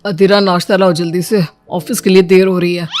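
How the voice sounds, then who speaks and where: A woman's voice: on the low side, level tone, brisk, darkish tone, soft background music, natural voice. A woman voicing a young married man in a family story, an earnest, admonishing husband who is easily vexed. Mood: hurried. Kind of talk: animated Hindi moral story dialogue.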